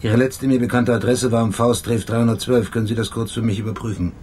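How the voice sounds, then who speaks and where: An actor playing a persistent, businesslike police detective in a German radio crime drama, putting clipped, probing questions. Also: male voice, mid-range voice, fairly even intonation, fast, a little mellow, a little hiss or hum, natural voice.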